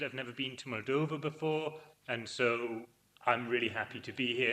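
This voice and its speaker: Bright-voiced Scottish man presenting. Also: mid-range voice. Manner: upbeat, wry, self-deprecating. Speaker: conference speaker. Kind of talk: academic presentation. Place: conference hall.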